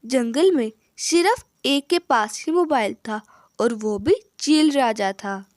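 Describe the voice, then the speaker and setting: A woman's voice: high voice, animated, takes it slowly, darkish tone, no room sound, studio quality. A woman narrating a scripted children's story.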